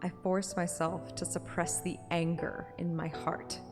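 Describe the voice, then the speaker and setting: Slightly low-voiced woman, streaming storyteller. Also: little pitch movement, unhurried. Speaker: streamer reading a game story aloud. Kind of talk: first-person audiobook narration with casual asides. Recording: music behind the voice.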